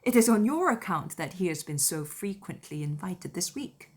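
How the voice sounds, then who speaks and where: A woman voicing a spirited young woman in an audiobook drama, with an animated, emphatic manner, playful yet sincere. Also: lowish voice, moderate pace, husky. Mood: agreeable, sincere. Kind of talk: dramatized audiobook character dialogue.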